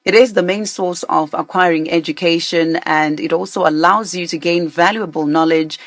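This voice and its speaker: Low-pitched woman from Zimbabwe, measured delivery, dry recording. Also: fairly even intonation, no echo. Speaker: radio presenter. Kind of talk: campaign message to camera.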